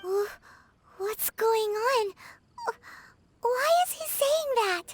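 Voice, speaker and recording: Squeaky, cheeky fairy child. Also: female voice, expressive, takes it slowly, background noise, heavily acted. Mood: alarmed. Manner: squeaky, exclamatory, speaks of self in third person. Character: small childlike female fairy companion, excitable and cheeky. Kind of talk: animated video game voice acting. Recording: music playing behind the voice.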